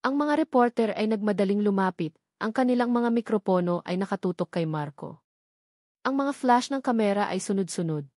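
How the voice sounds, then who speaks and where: Female Filipino story narrator, mid-pitched, very dry recording, for scripted romance drama. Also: expressive, fairly quick, darkish tone, dry recording.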